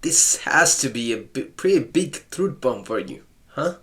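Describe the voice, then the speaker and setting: A man commenting casually on a game, joking and reacting with quick asides and stammers. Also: medium pitch, lively intonation, fairly bright tone, slight background noise. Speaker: let's play host.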